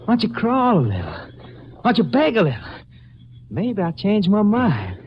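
Muffled noisy old radio, young male killer, sadistic, mocking, slangy, highly animated. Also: normal speed. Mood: cold taunting. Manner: taunting, mocking, slangy tough-guy talk. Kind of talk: old radio crime drama acting.

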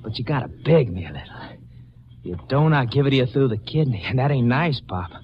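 A man's voice: medium pitch, expressive, brisk, lightly acted. An actor playing a sneering, sadistic young killer who taunts in slangy tough-guy talk in an old radio crime drama. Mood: cold taunting. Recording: muffled, noisy old radio recording.

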